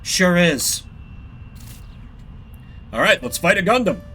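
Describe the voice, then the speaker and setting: Young male streamer, excitable commentary, animated delivery over loud game music. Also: sits high, takes it slowly. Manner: excitable, swears casually. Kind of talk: gameplay commentary.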